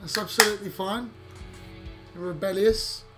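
Husky guest, slightly high voice. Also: male voice, steady tone, moderate pace, loud music behind the voice. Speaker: guest co-commentator. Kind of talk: casual game commentary.

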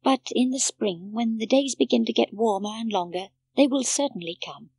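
A woman voicing a gentle, fatherly doctor in a storybook, speaking tenderly and soothingly. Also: on the high side, moderate pace, creaky, breathy edge, no room sound.

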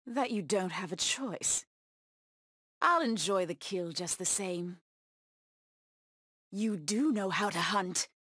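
A woman's voice: mid-range voice, takes it slowly, long pauses, a bit bright, dry recording, clean studio sound. An actress voicing a proud, bloodthirsty cat-woman huntress villain in a video game, with menacing, taunting delivery.

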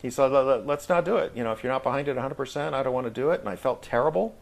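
A middle-aged American man reminiscing casually as a guest in an interview, speaking in a reflective, halting way. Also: medium pitch, vocal fry. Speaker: guest, audio drama actor.

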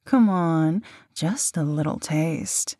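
A woman acting a playful literary sweetheart in an intimate audio drama, with a husky voice. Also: low voice, slow pace, dark tone, no echo, studio quality, heavily acted. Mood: playful, teasing. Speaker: voice actor reading love letters.

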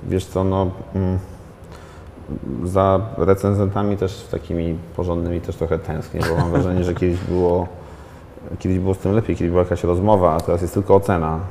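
A man in his thirties, a film director, giving a reflective interview in a studio set, thinking aloud with many pauses and restarts. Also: low-pitched, little pitch movement, mellow, a little hiss or hum. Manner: thoughtful, hesitant, many fillers and restarts. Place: studio set in a large glass-walled building.

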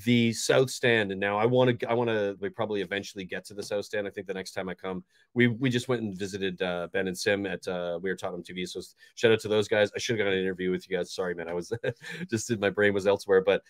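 A man's voice: fairly low pitch, steady tone, fast talker, no room sound. A man in his forties, a fan channel host, chatting casually and enthusiastically about a match with lots of restarts. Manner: enthusiastic, rambling with many restarts. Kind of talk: casual post-match chat. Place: bright bedroom indoors.

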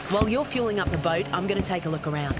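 A woman's voice: on the low side, animated, very fast, background noise, natural voice. A woman voicing a wary, teasing young mercenary, in a fan-made audio drama. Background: loud music score.

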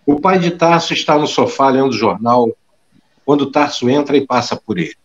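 A man's voice: medium pitch, animated, normal speed, lo-fi sound. A man narrating a scripted audio drama, reading out the scene action. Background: clear music.

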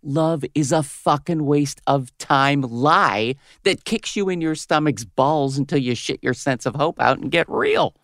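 A woman in her fifties playing a crude, cynical radio host with a smoker's gravelly voice in a comedy audio drama. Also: sits low, lively intonation, measured pace, few pauses, dry recording, clean studio sound. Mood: pushy, profane. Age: 50s. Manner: brash, foul-mouthed, switching to syrupy on air. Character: crude, cynical, hard-bitten 57-year-old female radio host.

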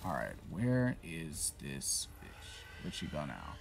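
A man narrates his boss fight in a loose, profane, talk-to-himself way. His voice sits very low, with a gravelly edge, yet it jumps around a lot when he gets worked up. Game music plays faintly under him. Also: huge pitch swings, slow, noisy background. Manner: frustrated, profane, talks to himself. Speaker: gaming YouTuber. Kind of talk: casual gameplay commentary.

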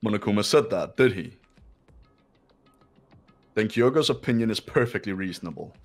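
Man playing a low-voiced haughty rich boy. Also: expressive, normal speed, faint music behind. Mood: cold, condescending. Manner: condescending, clipped, aloof. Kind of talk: amateur visual novel voice dub.

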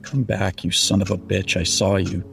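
An actor playing a depressed, evasive young man with a gravelly, husky voice and hesitant delivery in an audio drama. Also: deep voice, level tone, fairly bright tone, faint music behind, exaggerated voice. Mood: frustrated, sullen. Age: young adult. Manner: hesitant, halting, mumbled.

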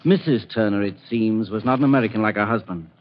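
A man playing a measured, teasing older doctor with a deliberate, avuncular manner in a 1940s radio drama. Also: fairly low pitch, lightly acted. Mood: measured, knowing. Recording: muffled, hissy 1940s radio recording.

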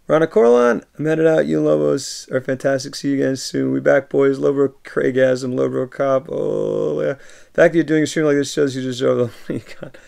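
A man in his thirties from the United States, a livestreamer in a room at home, reading viewer messages aloud and answering warmly through a webcam microphone. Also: mid-range voice, steady tone, a little fast, creaky, clean tone. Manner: reads viewer messages aloud and replies warmly, often laughing. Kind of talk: casual chat. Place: home room with shelves of films.

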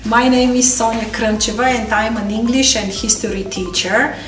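A middle-aged woman with a Bulgarian accent, a deputy headmistress speaking earnestly with halting fillers. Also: fairly high pitch, steady tone. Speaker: deputy headmistress, English and history teacher. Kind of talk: testimonial to camera. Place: council hall, indoors. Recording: roomy hall, distant mic, faint music.

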